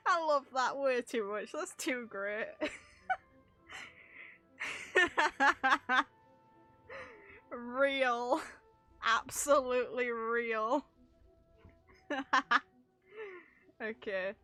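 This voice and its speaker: A woman game streamer giving casual, animated, unscripted reactions in informal, sweary language. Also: fairly high pitch, drawn-out words, pauses often, soft background music.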